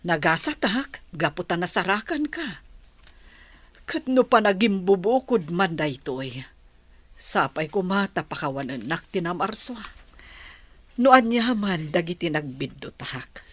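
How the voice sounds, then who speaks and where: Lowish, expressive Ilocano mother, an actress. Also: a little slow, dry recording. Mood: tender, grateful. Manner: tender, motherly. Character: loving, grateful adoptive mother, gently cautioning. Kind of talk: Ilocano radio drama acting.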